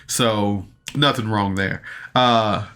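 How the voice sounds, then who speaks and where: Bright-voiced man rambling through a casual review. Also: fairly low pitch, steady tone, takes it slowly. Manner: rambling, hesitant, often backtracking and rephrasing. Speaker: podcast reviewer.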